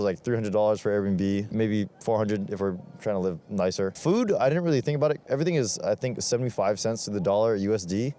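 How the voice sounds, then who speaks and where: A man's voice: on the low side, mellow. Laid-back rambling young man outdoors. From Hawaii. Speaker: interviewee. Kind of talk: casual street interview. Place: city street outdoors.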